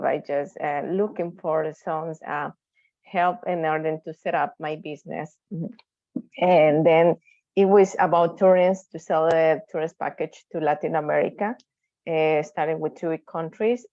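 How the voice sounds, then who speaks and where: A woman from Ecuador with an Ecuadorian Spanish accent, a native Spanish speaker introducing herself on a video call in halting English, stopping to search for words. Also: low-pitched, steady tone, creaky, warm tone, no room sound, lo-fi sound. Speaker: workshop participant.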